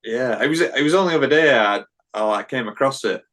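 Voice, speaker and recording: A man's voice: medium pitch, lively intonation, fast talker, fairly bright tone. A man hosting a casual podcast interview, asking hesitant, filler-heavy questions. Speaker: podcast host.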